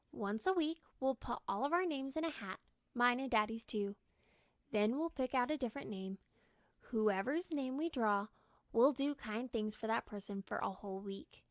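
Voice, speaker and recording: A woman voicing an encouraging young mother in a children's storybook, earnest and gently persuasive. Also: on the high side, no room sound, clean studio sound, lightly acted. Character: warm, encouraging young mother explaining a secret game.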